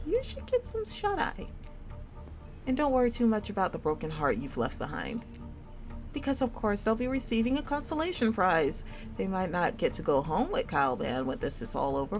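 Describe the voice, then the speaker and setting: A woman's voice: medium pitch, sing-song, moderate pace, soft background music. A woman reading a game story aloud in a theatrical storytime style, shifting her voice between characters. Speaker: streamer reading a visual novel game aloud.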